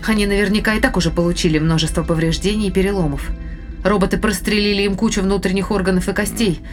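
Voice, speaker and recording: A woman's voice: mid-range voice, steady tone, fast, soft background music, lightly acted. An actress in an audio drama playing a composed female spaceship scientist who explains things articulately. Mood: composed, clinical.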